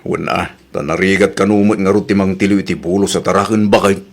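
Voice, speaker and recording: A man's voice: low voice, fairly even intonation, quick pace, vocal fry. A man with an Ilocano Filipino accent playing a bossy, money-minded middle-aged village captain in a comedy audio drama. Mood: grumbling. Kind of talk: comedy radio drama acting.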